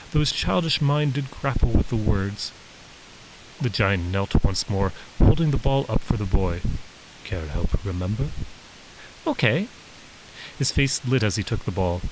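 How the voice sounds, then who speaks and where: Measured male story narrator, faint hiss. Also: fairly low pitch, expressive, poor audio. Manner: measured, descriptive reading. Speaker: author reading own work. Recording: hissy background noise.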